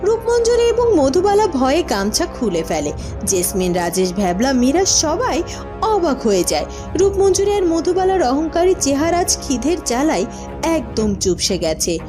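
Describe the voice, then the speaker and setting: A woman's voice: high voice, sing-song, moderate pace. A woman reading scripted narration for a fairytale cartoon as the storyteller. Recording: music under the voice.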